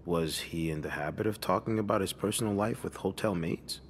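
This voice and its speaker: An actor playing a skeptical, middle-aged police detective in a mystery game, questioning in a probing, condescending manner. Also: male voice, sits low, a little fast. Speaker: video game character. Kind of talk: narrative mystery game voice acting. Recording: long room reverb, faint noise.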